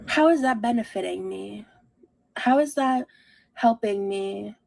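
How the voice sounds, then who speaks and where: A woman giving a personal, confessional vlog monologue in a halting, self-reflective way. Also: mid-range voice, vocal fry, a little hiss or hum, rough recording.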